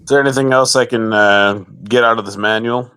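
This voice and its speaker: Bright-voiced man playing a tabletop game. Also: medium pitch, steady tone, brisk, clean tone, no room sound. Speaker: player.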